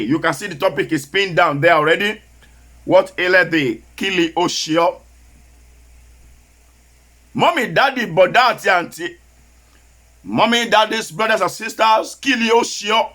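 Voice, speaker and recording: A man preaching a sermon with a Nigerian Yoruba accent, whose first language is Yoruba, slowly repeating key phrases for emphasis and switching into Yoruba. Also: sits high, bright, forceful tone.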